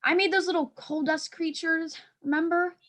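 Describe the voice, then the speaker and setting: A woman's voice: extremely high pitch, fairly even intonation, moderate pace, fairly bright tone. A woman playing an anxious, dramatic schoolgirl in a staged play reading, with a fretful manner. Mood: dramatic, restless.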